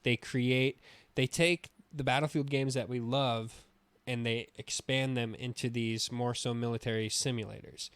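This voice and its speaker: Male gaming podcast co-host, casual and opinionated, loose with swearing, average pitch, very dry sound. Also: steady tone, measured pace, a bit bright, clear, resonant tone, dry recording.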